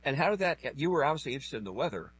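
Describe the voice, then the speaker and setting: Husky-voiced male interviewer, halting and polite. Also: fairly high pitch, very animated, a bit bright, low-quality recording. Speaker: interviewer, radio host. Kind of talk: conversational interviewing.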